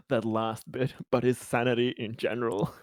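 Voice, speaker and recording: A man playing a tabletop roleplaying game, chatting in a casual, jokey, excitable way and repeating himself. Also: medium pitch, dry recording, studio quality.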